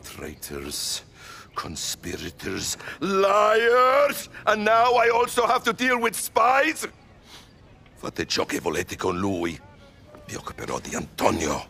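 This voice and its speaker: A fanatical Italian villain for a cinematic game. He speaks slowly and theatrically in a gravelly, forceful voice that swings wildly in pitch, stern and full of menace. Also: wildly swooping pitch, slight background noise, exaggerated voice. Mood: furious, fanatical. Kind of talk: cinematic adventure game acting.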